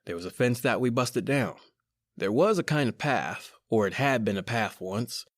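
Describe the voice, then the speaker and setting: Actor as a slangy small-time crook, dry close sound. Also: male voice, mid-range voice, expressive, moderate pace, no room sound, lightly acted. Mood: uneasy, reminiscing. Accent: American. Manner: slangy, colloquial, conversational. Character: cocky wisecracking small-time male crook telling his own eerie tale. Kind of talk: old-time radio horror acting, first-person narration and dialogue.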